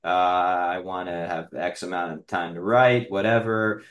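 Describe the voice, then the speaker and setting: A man in his thirties hosting a podcast, speaking casually and reflectively in a hesitant, halting way as he thinks aloud. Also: low voice, level tone, fairly bright tone, highs cut off. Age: 30s. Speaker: podcast host.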